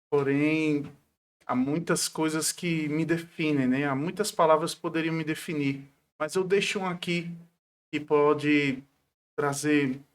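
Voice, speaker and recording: A man of about forty, a pastor speaking devoutly as an interview guest, often adding short tag questions. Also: medium pitch. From Paraíba, northeastern Brazil. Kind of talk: devout personal testimony in a conversational interview.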